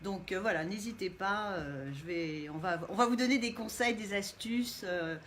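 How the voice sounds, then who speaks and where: A woman's voice: mid-range voice, normal speed. A middle-aged woman, a guest coach talking expressively in a casual live stream. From Canada (Montréal), Italian heritage. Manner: expressive, playful, laughs easily. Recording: faint background noise.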